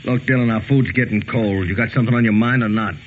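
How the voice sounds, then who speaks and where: A man with an American accent playing a gruff, blunt man of the Old West in a 1950s radio western. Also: medium pitch, fairly even intonation, quick pace, natural voice. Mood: surly. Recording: tinny noisy 1950s radio recording.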